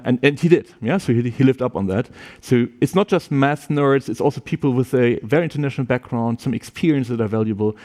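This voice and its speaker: A man's voice: on the high side, dark tone. A man speaking informally to prospective students at a university open day, loose and chatty, with many restarts and "yeah?" tags.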